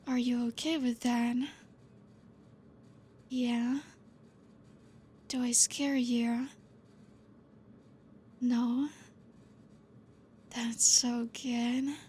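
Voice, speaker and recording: Woman doing a possessive vampire teacher, ASMR, soft and breathy, very slow and even, gently teasing. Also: on the high side, a little hiss or hum. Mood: tender possessive. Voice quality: soft, breathy, half-whispered. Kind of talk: ASMR roleplay.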